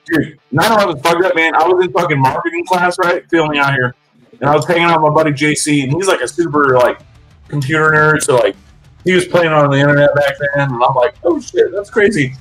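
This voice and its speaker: Male co-host, early 40s, slightly high voice, slangy rambling banter full of swearing, faint music behind. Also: animated, brisk, a bit bright, poor audio. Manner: loose slangy rambling, says man and dude. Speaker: podcast co-host. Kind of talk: casual profane chat.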